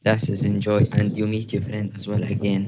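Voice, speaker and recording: Young man, low flat voice, halting broken english, repeats words. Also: heavy background noise. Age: young adult. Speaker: youth group member. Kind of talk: casual chat.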